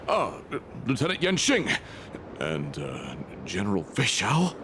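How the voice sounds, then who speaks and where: An actor voicing a dutiful soldier in a video game, respectful and a little hesitant. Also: male voice, on the low side, huge pitch swings, slow pace, sharp tone, a little hiss or hum, heavily acted. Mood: deferential. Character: deferential male soldier on watch, dutiful.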